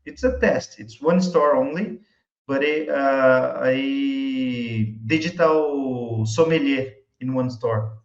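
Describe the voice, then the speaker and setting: A man from Brazil with a Brazilian Portuguese accent, a native Portuguese speaker, talking casually as an interview guest and searching for words in English. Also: mid-range voice. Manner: halting, searching for words, often chuckling. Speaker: interview guest, wine YouTube creator. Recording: muffled, echoey room sound.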